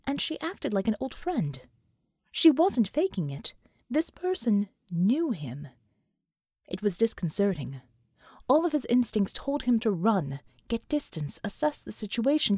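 A woman's voice: fairly high pitch, darkish tone, no echo, clean studio sound. A woman reading scripted fiction as an audiobook narrator, in a dramatic storytelling style.

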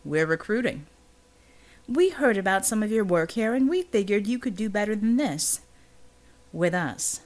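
A woman voicing a smug male mercenary recruiter in an audiobook, with insinuating, smooth sales patter. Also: medium pitch, lively intonation, normal speed, close to an everyday voice. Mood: persuasive.

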